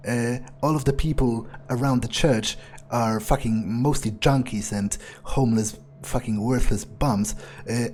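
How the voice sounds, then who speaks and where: A man doing in-character gameplay commentary, thinking aloud in a halting, profane, sardonic mutter with a husky voice. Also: medium pitch, a little mellow. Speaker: gamer role-playing his character.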